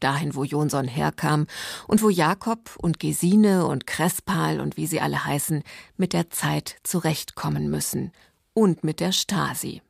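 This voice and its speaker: A woman presents a scripted literary introduction as a radio host. Her voice sits very low and carries a clear creak. The sound is close and dry, with hardly any room around it. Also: no room sound.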